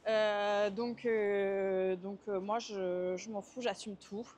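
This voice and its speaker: A woman's voice: mid-range voice, fairly even intonation, slow pace, a little mellow. A woman in her twenties from Marseille with a Marseille accent, answering interview questions while walking, hesitant with many fillers. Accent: Marseille French. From France. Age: 20s.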